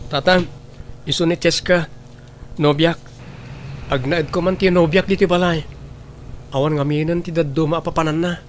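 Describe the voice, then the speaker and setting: A man's voice: a little high, fairly even intonation, moderate pace, pauses often, slight background noise, natural voice. A man playing an earnest young boyfriend in an audio drama, with an Ilocano accent and a respectful manner. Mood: apologetic, respectful.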